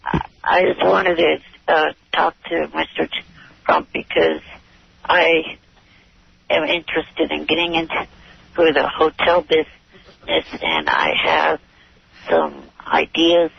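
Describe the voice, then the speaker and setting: A man phoning in to a radio show, talking in a halting, earnest way. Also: a little high, lively intonation, gravelly. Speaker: caller. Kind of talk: hesitant phone-in pitch. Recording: muffled lo-fi phone call.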